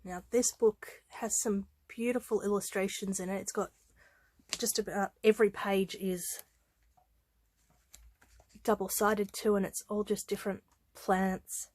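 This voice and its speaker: Woman, stuffy nose from a cold, chatty, relaxed, dry close sound. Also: medium pitch, dry recording. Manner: chatty, unhurried, with frequent ums and uhs. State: congested from a head cold. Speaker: craft vlogger. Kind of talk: casual show-and-tell vlog.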